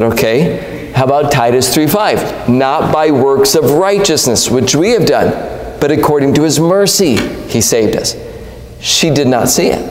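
A man in his forties preaches from a church pulpit into a mic, pressing hard on key words. His voice sits fairly high for a man. The sanctuary adds a roomy, long-tailed reverb. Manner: emphatic, rising on key words, gestures broadly. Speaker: evangelist preacher. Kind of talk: sermon with storytelling. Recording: echoey hall reverb.

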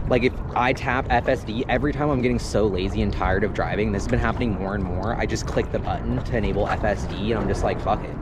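Heavy wind, water and boat noise surrounds a young American man recording outdoors on a lake. His voice sits on the low side, and he rambles like a laid-back podcast host, loose and slangy, leaning hard on words he is excited about. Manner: laid-back slangy talk, enthusiastic, with drawn-out emphasis. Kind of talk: casual free-flowing rambling. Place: outdoors on a paddleboard on a city lake.

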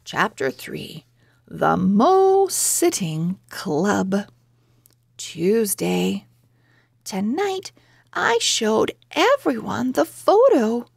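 Woman reading a kids book out loud, real slow n expressive, bit creaky, dry close sound. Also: mid-range voice, no room sound. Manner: deliberate, expressive, child-directed delivery. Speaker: children's book reader. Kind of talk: read-aloud storybook narration.

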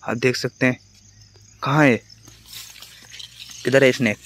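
A man's voice: mid-range voice, fairly even intonation, unhurried, fairly bright tone, no room sound. A man, vlogging casually on a phone outdoors. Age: 20s. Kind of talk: casual chat to camera. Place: outdoors in an open farm field. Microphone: phone at arm's length. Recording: noisy handheld phone outdoor recording.

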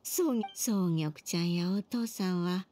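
A gentle old grandmother. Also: fairly low pitch, unhurried, no echo. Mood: wistful. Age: older. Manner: gentle, old-fashioned speech. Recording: game audio with music underneath.

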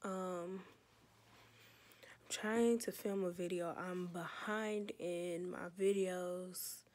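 A young American woman with a stuffy, hoarse cold voice, chatting casually and rambling in a tired way, recorded on a phone held close in her bedroom. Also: lowish voice, steady tone, very slow, darkish tone. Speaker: beauty vlogger. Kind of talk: casual vlog chat. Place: bedroom, indoors.

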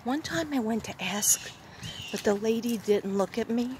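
A woman's voice: on the high side, a little slow, darkish tone, slight background noise. A woman vlogging from her phone as she walks a neighborhood street outdoors, rambling and often restarting her sentences. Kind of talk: walking vlog, casual chat. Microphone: handheld phone.

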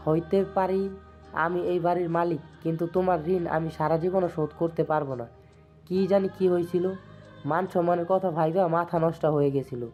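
A man's voice: a little high, fairly even intonation, moderate pace, dark tone, close to an everyday voice. A man playing a humble, grateful elderly landlord with a pleading, deferential manner. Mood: apologetic, grateful. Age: older. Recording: music bed under the voice.

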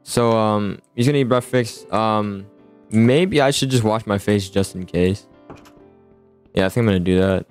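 A man's voice: lowish voice, moderate pace, clean tone. A man chatting casually in an improvised game roleplay, often stammering and repeating his words. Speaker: host of a roleplay gaming video. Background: music.

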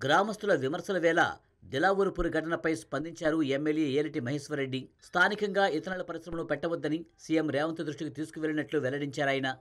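A man reading a scripted television news voice-over in a brisk, dramatic delivery. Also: on the high side, a bit bright. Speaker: news narrator.

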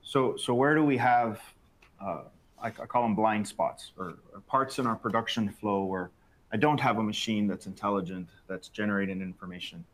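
A man's voice: lowish voice, normal speed. A man in his thirties on a panel in a factory studio, explaining with frequent restarts and repeated words, on a lapel mic. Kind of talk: panel discussion. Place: bright open factory studio set.

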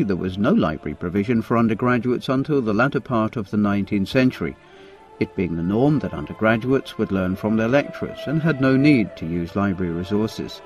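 A man narrating a scripted audio walking tour in a measured, informative way. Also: medium pitch, darkish tone.

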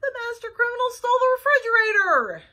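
A woman's voice: very high-pitched, expressive, a little slow, a bit bright, pitched above the performer's own voice, exaggerated voice. A woman voicing a distraught male penguin restaurant owner, reading a storybook aloud. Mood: upset.

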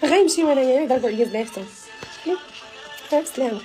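A woman's voice: sits high, quick pace, faint music behind. A woman in her thirties with a Moroccan Arabic accent, chatting animatedly to her followers on a phone live stream in a small room. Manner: animated, emphatic, gestures a lot while talking. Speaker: social media personality. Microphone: phone at arm's length.

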